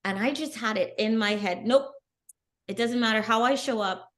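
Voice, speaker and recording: A middle-aged woman, a guest on an interview podcast, telling her story in an earnest, animated way. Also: on the high side, moderate pace. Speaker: guest, bikini athlete. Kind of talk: casual interview.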